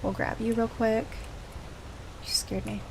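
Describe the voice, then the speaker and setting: A woman's voice: fairly high pitch, little pitch movement, a little slow, vocal fry, background noise. A woman giving casual, chatty gameplay commentary, thinking aloud with playful little exclamations. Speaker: gamer streaming a blind play-through.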